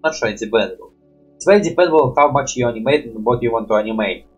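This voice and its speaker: A young man talks in a slightly rough, unclear voice over faint music. He rambles through a casual reaction commentary in halting non-native English. Mild curses are scattered through his speech. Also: mid-range voice, lively intonation, highs cut off. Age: young adult. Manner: habitual mild swearing. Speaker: reaction video host. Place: small room at home.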